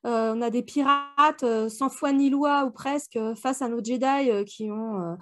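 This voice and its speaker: A woman in relaxed, informal fan discussion as a book club co-host, speaking slangily. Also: on the high side, normal speed. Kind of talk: casual chat, fan discussion.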